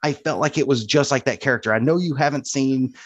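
A man with an average-pitched voice talks casually as a podcast co-host. The conversation is informal, and the sound is dry with very little room. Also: fast talker, dry recording, lo-fi sound. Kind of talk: casual chat about media.

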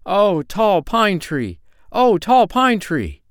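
A man's voice: sits high, huge pitch swings, moderate pace, no echo, clean studio sound, pitched above the performer's own voice, exaggerated voice. A man voicing a fiery but kindly little old magical man in a storybook, exclaiming in formal, old-fashioned phrases. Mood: overjoyed.

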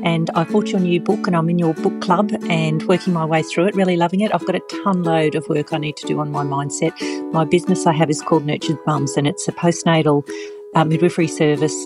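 A woman's voice: deep voice, steady tone, fast talker. A woman, a midwife, leaving a friendly recorded message for a podcast. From Australia. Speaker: caller, midwife. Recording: voice message with guitar music underneath. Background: guitar music.

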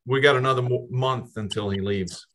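A man hosting a casual podcast interview, speaking haltingly with frequent restarts and fillers. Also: mid-range voice, fairly bright tone. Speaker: podcast host.

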